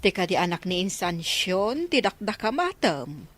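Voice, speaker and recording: A woman's voice: mid-range voice, sing-song, moderate pace, fairly bright tone. A woman playing a curious mother in radio drama dialogue. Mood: curious, reflective. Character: curious mother of a grown-up daughter.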